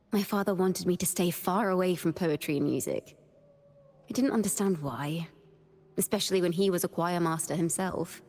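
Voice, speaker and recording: A woman voicing a young, horned wandering bard in a video game, a cheerful yet wistful poet who speaks in lyrical, expressive storytelling with a reverberant tail. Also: lowish voice, vocal fry, breathy edge. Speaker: game character voice. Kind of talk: scripted character monologue.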